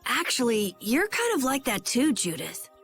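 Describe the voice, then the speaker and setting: High-pitched, blunt clever girl. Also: huge pitch swings, moderate pace, fairly bright tone, faint music behind, full character voice. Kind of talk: video game voice acting.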